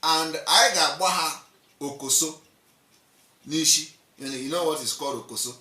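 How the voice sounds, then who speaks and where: A man's voice: fairly high pitch, normal speed, pushed and bright, far from the mic. A man with a Nigerian accent giving an impassioned, repetitive political monologue, mocking and emphatic. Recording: roomy echo, off-mic.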